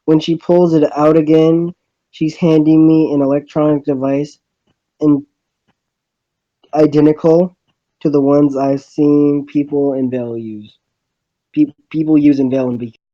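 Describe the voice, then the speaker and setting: A man's voice: fairly high pitch, little pitch movement, measured pace, pauses often, dark tone, no room sound, highs cut off. A man reading a visual novel aloud, often stumbling and restarting phrases.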